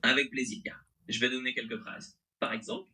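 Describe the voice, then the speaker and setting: A man's voice: mid-range voice, level tone, normal speed, pushed and bright, low-quality recording. A male AI assistant voice with a mixed French accent and American-sounding intonation, replying in an agreeable, accommodating, conversational way.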